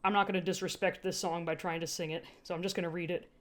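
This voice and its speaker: A woman's voice: lowish voice, flat delivery, fast. A woman reading first-person fiction expressively as an amateur narrator, with an informal manner and casual asides. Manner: informal, breaks off to laugh and comment.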